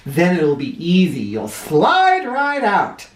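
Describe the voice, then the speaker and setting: A woman's voice: lowish voice, wildly swooping pitch, slow, pitched above the performer's own voice, exaggerated voice. Storybook farm official, male, read by a woman: confident, matter-of-fact, slightly distant from the mic. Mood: earnest, confident. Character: earnest, confident male agriculture secretary. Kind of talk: children's storybook character voice. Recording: roomy, somewhat far from mic.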